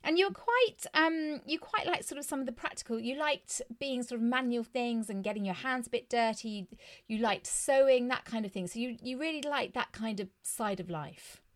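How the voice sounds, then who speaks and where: A woman's voice: fairly high pitch, normal speed. Gushing British woman hosting a podcast. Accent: British English. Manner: warm, effusive, enthusiastic. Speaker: podcast host. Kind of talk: podcast interview.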